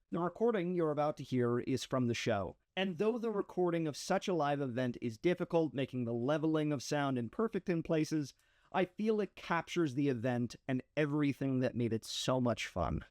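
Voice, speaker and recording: A man's voice: medium pitch, a little mellow, no room sound, clean studio sound. A man reading a scripted introduction as the producer of a show.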